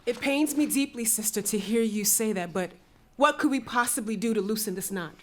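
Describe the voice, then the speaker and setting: Actress as anguished young princess, high-pitched, cautious, pleading, emotional, stage tragedy. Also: female voice, fairly quick, lightly acted. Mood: anxious. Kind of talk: dramatic reading of Greek tragedy.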